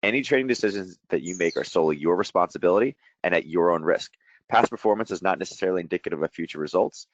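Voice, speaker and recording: A middle-aged man with a deep, slightly strained voice, hosting an informal, chatty and explanatory trading webinar. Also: fast, no echo, muffled recording. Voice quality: voice deeper than usual from shouting. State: strained voice after a day of loud coaching. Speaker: trading educator hosting a market breakdown.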